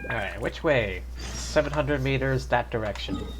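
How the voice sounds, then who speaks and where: A woman's voice: a bass voice, lively intonation, normal speed, poor audio. A woman live streamer giving casual, wry, sardonic commentary while playing a game. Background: game music.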